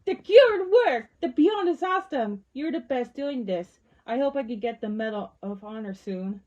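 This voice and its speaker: A woman's voice: high-pitched, animated, moderate pace, a little mellow. A woman playing a confident, determined scientist in an amateur zombie outbreak audio drama. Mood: excited. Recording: dull lo-fi amateur microphone.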